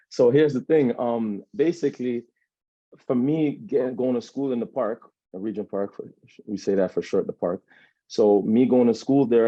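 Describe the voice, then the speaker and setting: A man's voice: medium pitch, dark tone, no room sound. A man in his thirties with a Jamaican accent, telling his own story as an interview guest in a loose, rambling way, often checking in with "you know what I'm saying". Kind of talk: personal account in a sit-down interview. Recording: dull lo-fi sound.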